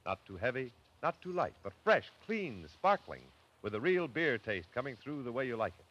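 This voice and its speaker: Lively 1940s radio announcer man. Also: medium pitch, moderate pace. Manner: polished, salesmanlike. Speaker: radio announcer and sponsor pitchman. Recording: dull vintage radio recording, faint noise.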